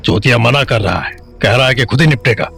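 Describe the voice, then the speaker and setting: A man's voice: mid-range voice, fairly quick, vocal fry, fairly bright tone, faint music behind. A man playing a weary, blunt, middle-aged small-town father in an audio drama. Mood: gruff.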